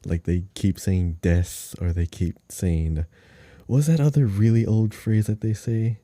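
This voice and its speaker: A man's voice: lowish voice, steady tone, unhurried, mellow, no room sound. A man co-hosting a gaming podcast, chatting casually and informally. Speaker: podcast co-host.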